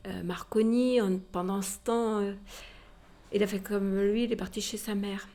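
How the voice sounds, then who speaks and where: A woman's voice: mid-range voice, steady tone, normal speed, dark tone. Female museum guide, informal historical storyteller, explanatory and direct with the listener. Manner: explanatory, addresses listeners directly with rhetorical tags.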